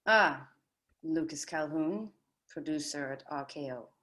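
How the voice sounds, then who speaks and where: A woman acting a glamorous, quick-witted 1940s scientist in a table read, poised and knowing. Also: low-pitched, fairly bright tone. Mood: coy, chatty.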